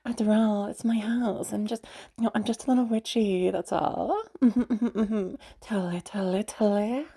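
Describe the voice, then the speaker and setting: A woman acting as a playful, caring party host in an intimate audio roleplay, soft-voiced and hesitant, rambling as she gently reassures the listener. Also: mid-range voice, fairly even intonation, normal speed, breathy edge. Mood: playful, flustered.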